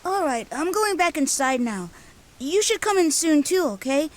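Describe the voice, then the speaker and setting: A woman's voice: high-pitched, lively intonation, normal speed. A woman voicing a blunt, impatient boy. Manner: blunt and dismissive. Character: impatient, gruff young boy. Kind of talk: video game cutscene dubbing.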